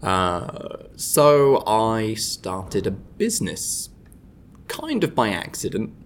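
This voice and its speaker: Low-voiced man from Devon, hesitant. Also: huge pitch swings, slow pace, slight background noise. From Devon, England. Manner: hesitant, thinks aloud with fillers and restarts. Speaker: podcast guest, English teacher. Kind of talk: casual interview talk.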